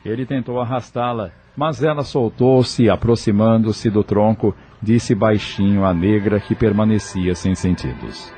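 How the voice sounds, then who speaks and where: A man's voice: lowish voice, a little slow, few pauses, dark tone, faint music behind. A man reading scripted narration as the narrator of an audio novel.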